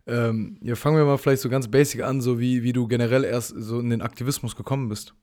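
A man's voice: mid-range voice, fairly even intonation, fast talker, darkish tone. A man hosting a casual interview podcast, asking rambling, hesitant questions with many fillers. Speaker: podcast host.

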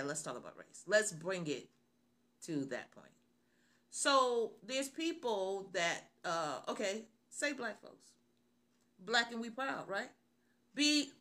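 Slow, bright-voiced woman preacher. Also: medium pitch. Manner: prayerful then animated, repeats phrases, rhetorical questions. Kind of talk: radio sermon with prayer.

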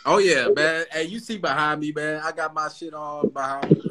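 A man's voice: fairly high pitch, moderate pace, a crowd in the background. A man from Detroit chatting casually and joking with friends on a podcast, laughing often and swearing freely. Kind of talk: casual chat.

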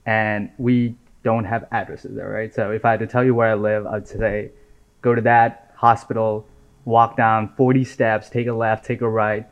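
A man in his twenties from Nepal, chatting casually as an interview guest. He talks in a relaxed, easy way, often dropping "right?" and "man" into his sentences. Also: on the low side, moderate pace. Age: 20s. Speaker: software engineer guest. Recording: dull lo-fi audio.

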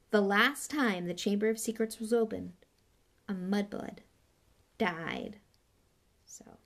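Lady doing a snotty rich schoolboy bully for an audiobook, scornful, impatient, bit of creak in it. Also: fairly high pitch. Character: spoiled, sneering, arrogant schoolboy bully. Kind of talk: audiobook character voice.

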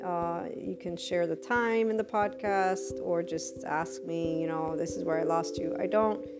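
A woman hosting a podcast on a headset mic, speaking loudly and enunciating her words carefully, getting passionate as she goes. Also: lowish voice, steady tone, measured pace, loud music behind the voice. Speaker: podcast host. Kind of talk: scripted intro then casual podcast talk.

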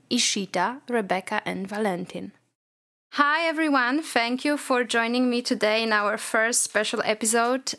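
Podcast host, woman, slightly high voice, friendly measured interviewer. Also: a bit bright. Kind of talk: hosting a panel discussion.

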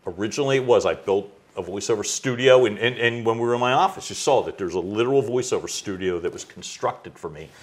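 A middle-aged man from the United States, a voice coach being interviewed at home, who talks loudly and animatedly and laughs easily. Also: fairly low pitch. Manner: loud, animated storyteller with quick laughs. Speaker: guest, executive voice coach. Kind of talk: conversational interview. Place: his home.

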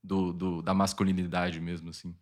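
A Brazilian man in his thirties, a guest musician, talking casually in a relaxed, rambling way with many fillers and laughs. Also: low voice, moderate pace. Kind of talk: casual interview chat.

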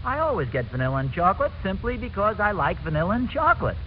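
An actor playing a stubborn, chatty suburban husband who keeps justifying himself, in old-time radio sitcom style. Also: male voice, high-pitched, big pitch swings, fast. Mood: insistent, defensive. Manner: self-justifying, answers his own questions. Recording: muffled, noisy old radio recording.

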